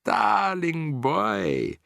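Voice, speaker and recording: A towering, shrieking witch queen, put on by a man reading aloud, her voice jumping very high and swooping wildly as she stretches every word. She barks orders at her crowd with cold command. The voice sounds close and dry. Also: big pitch swings, slow pace, bright, forceful tone, no room sound, heavily acted. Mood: cooing. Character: shrill imperious old female witch queen.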